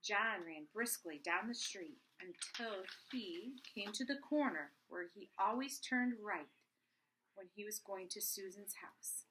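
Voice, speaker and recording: A woman's voice: on the high side, fairly bright tone, rough recording. A middle-aged woman reading a children's story aloud. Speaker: storybook reader.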